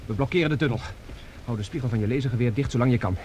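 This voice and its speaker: An actor playing a bold, no-nonsense soldier in a radio drama, giving curt orders in a commanding way. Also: male voice, mid-range voice, very fast, darkish tone, lightly acted. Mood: tense, tactical. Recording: echoey, very noisy sound-effects scene.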